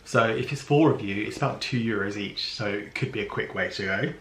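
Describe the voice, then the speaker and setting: A man's voice: on the low side, fairly quick. A man vlogging, casually explaining travel plans with many ums. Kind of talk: casual planning chat. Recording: echoey room.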